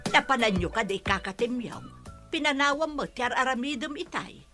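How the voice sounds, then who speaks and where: A scolding Filipino household worker, played by a woman with a bright, cutting voice. She nags in radio comedy style over faint music. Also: sits high, expressive, normal speed. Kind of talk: comedy radio drama acting.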